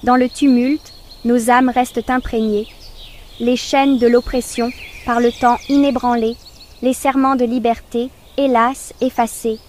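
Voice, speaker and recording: A woman reciting a poem as a narrator in a solemn, measured, declamatory style. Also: a little high, level tone, a little mellow, slight background noise. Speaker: poetry narrator.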